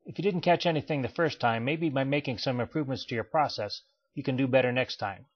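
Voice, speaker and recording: A man giving a scripted voice-over for a training slideshow, in a measured, explanatory way. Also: mid-range voice. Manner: measured, even explanatory delivery. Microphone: voice-over, not recorded in pictured place. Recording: dull lo-fi voice-over.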